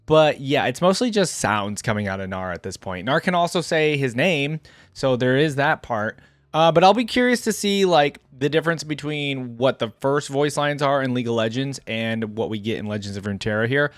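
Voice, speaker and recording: A man's voice: medium pitch, animated, normal speed, no echo. A man giving casual reaction commentary as a video host, musing and thinking aloud as he goes. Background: faint music.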